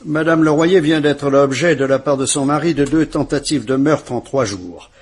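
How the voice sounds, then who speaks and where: An actor playing a calm, kindly police commissioner in a vintage radio drama, speaking in a measured, courteous and reassuring way. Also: male voice, fairly high pitch, breathy edge. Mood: brisk, kindly.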